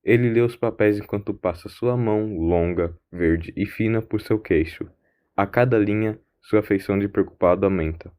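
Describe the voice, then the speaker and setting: A man's voice: lowish voice, normal speed, mellow. A man narrating a scripted science-fiction audio drama as its storyteller.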